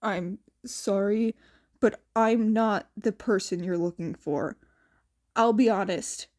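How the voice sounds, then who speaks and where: Woman doing a low, sardonic teenage boy. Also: takes it slowly, darkish tone, lightly acted. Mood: polite, weary. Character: sardonic teenage boy, weary teasing older brother.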